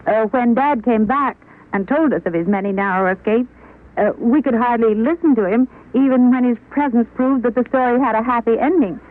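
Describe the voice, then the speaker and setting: A middle-aged woman is interviewed on 1940s radio. She talks in measured, articulate, formal sentences. The recording is thin and tinny. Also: fairly high pitch. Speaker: interview guest.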